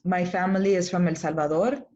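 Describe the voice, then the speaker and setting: A young woman with a slightly low voice hosts a casual webinar, talking in an encouraging way and often doubling back on her words. She grew up in Los Angeles with Spanish as her first language. The audio is a bit dull and lo-fi. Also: brisk, mellow. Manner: encouraging, repeats words and restarts phrases. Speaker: webinar host and coach.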